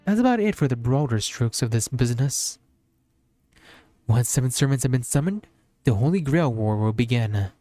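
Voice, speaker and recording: A man's voice: mid-range voice, expressive, normal speed, warm tone, no echo, soft background music. A man streaming as a VTuber, reading a visual novel aloud as narration.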